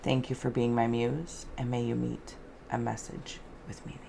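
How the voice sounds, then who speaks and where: A woman hosting an improvised spiritual card and scrying reading, soft-spoken, with a dreamy, meandering, alliterative way of talking. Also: extremely low pitch, flat delivery, moderate pace, gravelly, background noise. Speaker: host, tarot and scrying reader.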